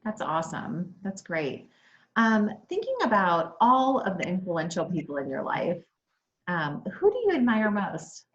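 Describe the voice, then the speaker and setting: A woman's voice: lowish voice, lively intonation, unhurried, muffled recording. A woman from Hawaii hosting an interview, chatty and upbeat, readily agreeing with her guest. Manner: upbeat and chatty, affirming answers. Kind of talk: friendly interview.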